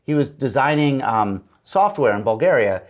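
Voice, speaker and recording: A middle-aged man with a voice of ordinary pitch talks casually as an interview guest. He tells stories with energy, restarts his sentences often and acts out the lines he quotes. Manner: animated storyteller, quick restarts and acted-out quotes. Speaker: guest, TV comedy writer.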